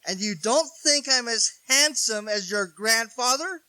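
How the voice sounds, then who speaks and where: A man playing a stern but kind elderly grandfather in a 1940s-style radio play, with a gruff, probing manner. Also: very high voice, a little slow, bright, forceful tone, no echo, exaggerated voice, rough recording.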